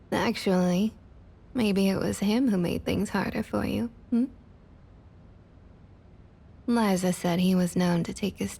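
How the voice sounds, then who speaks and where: A woman playing a smug, condescending vampire councilwoman in an ASMR roleplay, with a Southern US accent and a falsely sweet, breathy delivery that often drops to a near whisper. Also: medium pitch, darkish tone, exaggerated voice. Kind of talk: ASMR roleplay, intimate scripted monologue.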